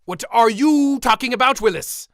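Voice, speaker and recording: Manic, creaky-voiced mad scientist. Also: male voice, extremely high pitch, lively intonation, moderate pace, a bit bright, dry recording, studio quality, exaggerated voice. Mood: giggly, theatrical. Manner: theatrical, exclaiming, cackling. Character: manic, giggling mad scientist, cranky, childish, boastful man. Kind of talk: comedic audio drama acting.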